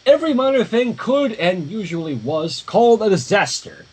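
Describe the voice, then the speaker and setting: A man reading a story aloud on a livestream with expressive, dramatic narration and casual asides, in a husky voice. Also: high voice, moderate pace. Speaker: fan fiction reader.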